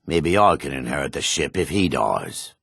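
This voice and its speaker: An actor playing a proud, gruff dark elf warrior in a fantasy game, with a raspy voice and a haughty, clipped, sardonic manner. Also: male voice, extremely low pitch, expressive, moderate pace, dry recording, clean studio sound, full character voice. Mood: grumbling. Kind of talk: fantasy video game character dialogue.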